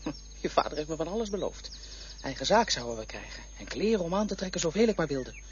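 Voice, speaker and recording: A woman voicing a weary, overworked mother in a radio play, her talk bitter and hurried. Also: sits low, big pitch swings. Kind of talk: radio drama acting. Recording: dull lo-fi recording with faint hiss.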